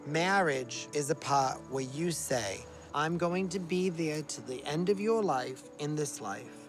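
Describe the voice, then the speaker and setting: A man's voice: a little high, expressive, unhurried, over music. A man in his fifties from Massachusetts, speaking openly and reflectively in a personal documentary interview. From Massachusetts, USA. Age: 50s. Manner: open, heartfelt, reflective.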